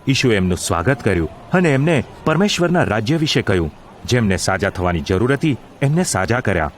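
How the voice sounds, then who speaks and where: A man's voice: fairly low pitch, sing-song, fairly quick, a little hiss or hum. A man narrating a gospel audio drama in a formal, solemn storytelling style.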